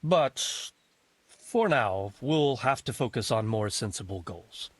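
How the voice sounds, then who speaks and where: An actor playing an ageing medieval nobleman in a video game, practical, wry and quietly commanding. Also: medium pitch, animated, husky, no echo. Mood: measured, practical. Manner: measured, authoritative, wry. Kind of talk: video game cutscene acting.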